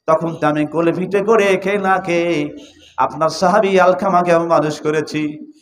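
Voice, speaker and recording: A man's voice: on the high side, steady tone, moderate pace, pitched below the performer's own voice, lightly acted. A man preaching, voicing an aggrieved widowed mother who is stubborn yet tender, in a plaintive tone. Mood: hurt, refusing.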